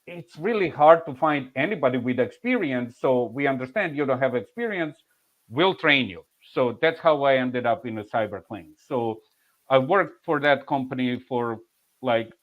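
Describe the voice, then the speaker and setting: A man in his forties from Serbia with a Serbian accent, an insurance claims manager telling his story in a relaxed interview, halting and full of restarts and soft laughs. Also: mid-range voice. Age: 40s. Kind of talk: casual interview answers. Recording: muffled, lo-fi audio.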